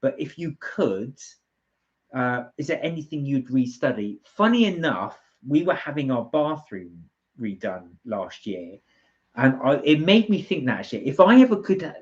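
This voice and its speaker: A man's voice: medium pitch, sing-song, normal speed. A man with a British accent co-hosting a casual live stream, chatting in a rambling, hesitant way and reading out viewer comments. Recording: dull, lo-fi livestream audio.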